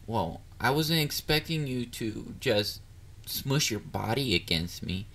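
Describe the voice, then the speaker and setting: A man's voice: medium pitch, lively intonation, slow pace, a bit bright, exaggerated voice. A man playing a bubbly, bashful catboy boyfriend in an intimate roleplay audio drama, dorky and easily flustered. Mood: flustered, pouting. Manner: playful, dorky, flustered, hesitant. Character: bubbly, bashful young male catboy partner.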